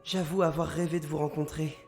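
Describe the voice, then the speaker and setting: A woman playing a composed telepath consultant in an audio drama, calm, direct and businesslike. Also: low voice, fairly quick, faint music behind. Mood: calm, respectful.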